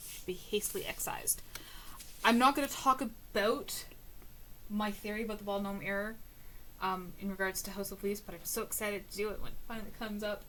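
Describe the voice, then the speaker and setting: A woman reading aloud from book notes in a casual, musing way, with many ums and uhs, often trailing off softly. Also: medium pitch, slight background noise, rough recording. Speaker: book reader and annotator.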